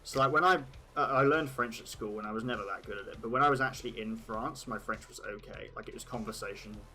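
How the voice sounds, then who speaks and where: Bright-voiced British streamer. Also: male voice, fairly low pitch, fast, soft background music, rough recording. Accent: British, with occasional Australian words. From Britain. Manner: chatty, laughs at his own jokes, thinks aloud with many "like"s. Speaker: livestream host. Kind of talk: casual chat with viewers.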